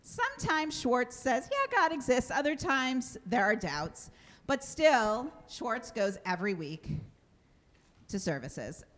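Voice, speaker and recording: A woman rabbi telling a story to her congregation in a conversational, animated way. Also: a little high, slow. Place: synagogue sanctuary.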